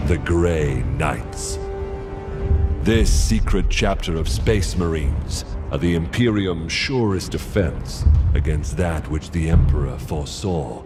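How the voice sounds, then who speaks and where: Extremely deep, grave space knight. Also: male voice, full character voice. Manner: grave, stately. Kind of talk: epic scripted game narration and dialogue.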